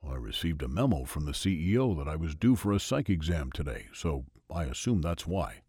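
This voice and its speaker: A man doing a low, weary office worker. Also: very animated, fairly quick, a little mellow, husky, dry recording, studio quality, full character voice. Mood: wary.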